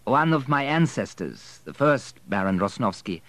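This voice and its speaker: A man narrating a novel puts on a young Polish man with a slight accent. He is proud, earnest and formal, easily wounded, and his pitch moves expressively. The sound is dry and close. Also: sing-song, normal speed, a bit bright, no echo. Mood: reflective, proud.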